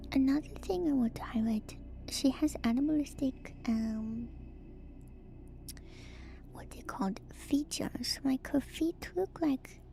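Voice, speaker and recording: Woman, soft ASMR whisper, mellow, slow, hesitant livestream commentary, close microphone. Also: a little high, creaky. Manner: hesitant, musing, unscripted. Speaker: livestreamer host. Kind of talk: whispered ASMR video game lore commentary.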